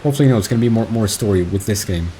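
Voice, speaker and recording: A man's voice: on the low side, very fast, a little hiss or hum. A man chatting casually while playing a video game, rambling and reacting to the action. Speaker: gaming channel host. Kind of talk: let's play commentary. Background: loud game music.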